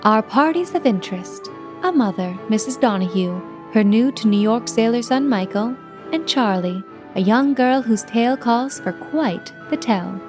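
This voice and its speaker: A woman playing a poised, poetic telephone operator who hosts and narrates a radio anthology in a florid, deliberate, theatrical manner. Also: fairly high pitch, lively intonation, over music, lightly acted. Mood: dreamy, poetic. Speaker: host and narrator.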